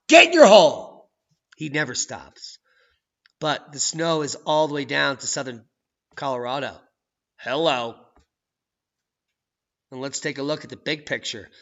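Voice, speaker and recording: A man hosting a channel, reading news and weather maps with sarcastic, profane commentary that swings from low mutters to loud outbursts. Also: vocal fry, dull audio. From United States. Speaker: channel host.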